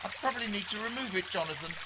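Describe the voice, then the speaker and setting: Man as a high-voiced, absurdly polite jungle explorer. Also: lively intonation, very fast, background noise. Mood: polite, strained. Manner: formal, understated. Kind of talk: mock radio documentary acting. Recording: very loud jungle and running water.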